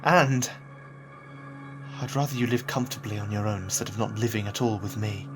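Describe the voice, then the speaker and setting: An actor playing a stubborn, impassioned young husband in a dystopian audio drama, earnest and desperate. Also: male voice, lowish voice, fairly quick, fairly bright tone, natural voice. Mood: sad, resigned. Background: music.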